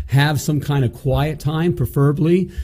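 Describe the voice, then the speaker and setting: A man's voice: a little high, steady tone, moderate pace, warm tone. A middle-aged man hosting a motivational business show in an indoor studio, talking emphatically into a studio mic on a stand and often checking in with "okay?" and "you know". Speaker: host.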